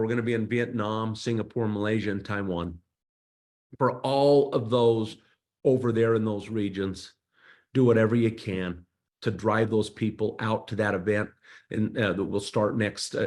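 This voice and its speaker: Fervent man giving a rallying company speech. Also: fairly low pitch. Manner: fervent, repetitive, pleading. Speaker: company executive addressing distributors. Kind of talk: motivational company call speech.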